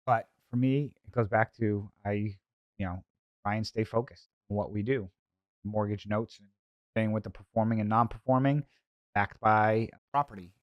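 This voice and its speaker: A man's voice: on the low side, dry recording, highs cut off. A man with a Boston accent hosting a solo podcast, talking informally with conversational asides. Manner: rhetorical self-questioning, conversational asides. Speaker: podcast host, investor.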